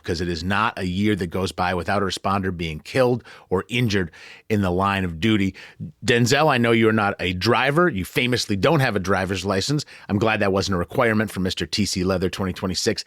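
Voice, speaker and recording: A man's voice: lowish voice, a bit bright, no room sound, studio quality. An American man hosting a podcast in a chatty, conversational, playfully self-deprecating way. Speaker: podcast host.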